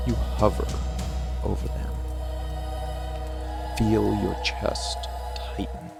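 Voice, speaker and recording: Man acting a gravelly, slow-murmuring monster boyfriend. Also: heavily acted. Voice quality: low, gravelly, breathy murmur often near a whisper. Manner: slow, deliberate delivery with long pauses. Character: possessive dark monster boyfriend, hidden protective watcher. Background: rain and music.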